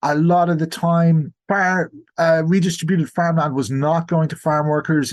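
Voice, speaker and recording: Male, Irish accent, mid-pitched voice. Guest historian giving explanatory interview answers, sometimes framed as his own questions. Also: darkish tone. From Ireland.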